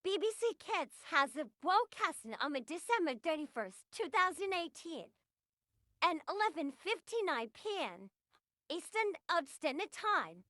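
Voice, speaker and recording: A woman giving an amateur mock emergency broadcast announcement, halting and repetitive in delivery. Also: extremely high pitch, sing-song, bright, forceful tone, dry recording, clean studio sound. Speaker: amateur video creator.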